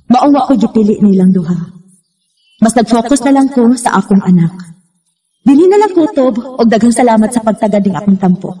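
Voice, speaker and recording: Actress as a mellow, regretful Cebuano storyteller. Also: female voice, on the high side, lively intonation, fairly quick, close to an everyday voice. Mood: reflective, resigned. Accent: Cebuano Filipino. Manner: reflective. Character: regretful woman narrating her own love story. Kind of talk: first-person radio drama narration.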